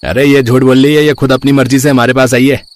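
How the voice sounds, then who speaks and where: A man's voice: medium pitch, flat delivery, fast, pitched above the performer's own voice, close to an everyday voice. Man putting on a taunting, menacing thug. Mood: protesting.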